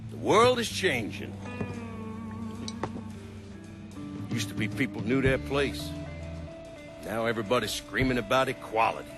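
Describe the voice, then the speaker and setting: A man playing a weary, bitter older crime boss and father in a cinematic game, lecturing gruffly and profanely. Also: fairly low pitch. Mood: weary, firm. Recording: music behind the voice.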